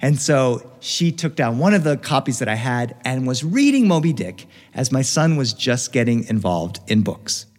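A middle-aged American man telling a first-person story live in an expressive, animated way with dramatic pauses. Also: mid-range voice. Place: reverberant studio, recorded live. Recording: live studio recording with long reverb.

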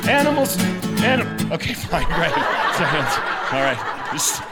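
A man's voice: fairly high pitch, sing-song, a little slow. A man telling a musical story to a live studio audience, joking and getting them to sing along. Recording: loud music behind voice. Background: guitar music.

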